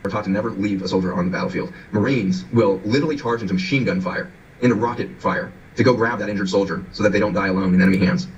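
A man's voice: lowish voice, fast talker, slight background noise. A man speaking urgently and earnestly in an interview over a video call. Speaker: physician in interview clip. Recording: dull distant clip audio.

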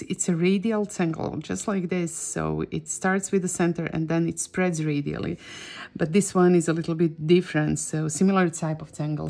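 A woman's voice: on the low side, vocal fry, mellow. A middle-aged woman with a Croatian accent, a native Croatian speaker, giving a casual drawing tutorial and often searching for words. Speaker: drawing teacher and host.

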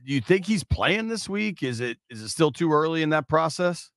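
A man's voice: on the high side, lively intonation, moderate pace, fairly bright tone, dry recording. A middle-aged man hosting a casual sports radio interview on a close studio mic. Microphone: studio boom mic with headphones.

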